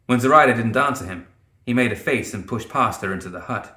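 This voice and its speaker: A man's voice: medium pitch, expressive, quick pace, bright, forceful tone, reverberant. A man reading fantasy fiction as a dramatic scripted narration. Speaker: audiobook narrator.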